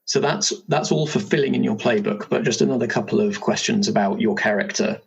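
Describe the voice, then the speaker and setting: A man's voice: medium pitch, a little fast, vocal fry, slightly rough, muffled recording. A man hosting as game master, casually asking questions and guiding a player through a character. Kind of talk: casual guided interview about a game character.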